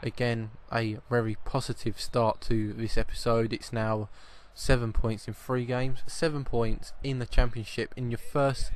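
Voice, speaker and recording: A man, slightly croaky with a cough, giving chatty football video game commentary and often repeating his first words. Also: mid-range voice, flat delivery, measured pace, few pauses. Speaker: gaming channel host.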